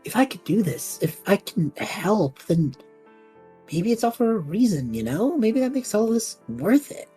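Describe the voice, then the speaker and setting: A woman playing a restless, idealistic young male traveler with a husky voice in an audio drama, casual and earnest. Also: medium pitch, big pitch swings, measured pace, warm tone, soft background music. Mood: pleading, earnest. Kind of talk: scripted audio drama acting.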